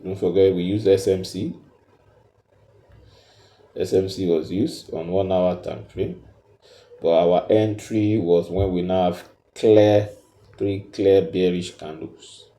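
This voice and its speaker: A man giving a step-by-step tutorial, explaining haltingly and repeating himself as he points things out. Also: low voice, fairly even intonation, dark tone, echoey room. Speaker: trading tutor.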